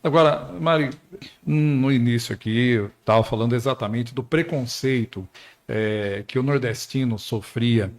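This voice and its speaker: A man's voice: mid-range voice, measured pace. A man hosting a podcast, asking questions in a chatty way with tag questions and asides. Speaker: podcast host. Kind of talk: casual interview questions.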